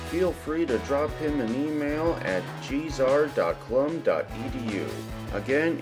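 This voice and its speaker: A man hosting a podcast, asking polite questions and reading scripted narration. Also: mid-range voice. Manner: polite, addresses guest as sir. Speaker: podcast host and interviewer.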